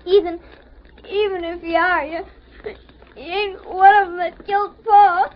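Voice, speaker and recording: Through a muffled old radio recording comes a very high-voiced little American ranch boy. He is frightened and tearful, stammering earnestly through rustic phrases in a western drama. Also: measured pace. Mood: tearful, halting. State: tearful, near crying.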